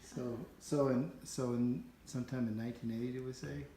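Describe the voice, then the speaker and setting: A man's voice: mid-range voice, steady tone, fairly quick, warm tone. A man asking questions and musing casually in conversation with others in a room. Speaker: interviewer. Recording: roomy echo, faint background noise.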